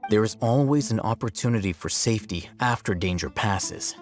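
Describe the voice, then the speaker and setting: Low-voiced, composed scholar. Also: male voice, animated, soft background music. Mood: calm, practical. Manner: measured, explanatory. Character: composed, analytical male scholar, matter-of-fact and sharp. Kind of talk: video game cutscene acting.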